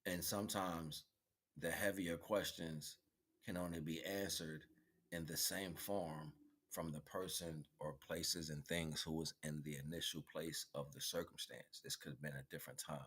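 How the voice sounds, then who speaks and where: A man delivering a rambling spiritual monologue in a halting, wordy way, mixing lofty terms with street slang and rhetorical questions. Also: extremely low pitch, steady tone, a bit bright, husky. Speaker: streamer giving spiritual talks.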